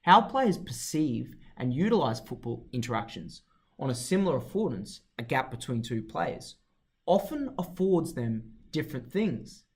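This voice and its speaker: A man's voice: medium pitch, sing-song, normal speed. A man narrating a scripted educational video in a formal, explanatory manner.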